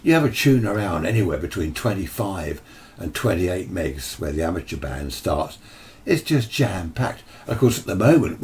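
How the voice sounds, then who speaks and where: Deep-voiced British man explaining things informally. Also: a little mellow, a little hiss or hum. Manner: opinionated, conversational, mimics imagined replies. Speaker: amateur radio video presenter.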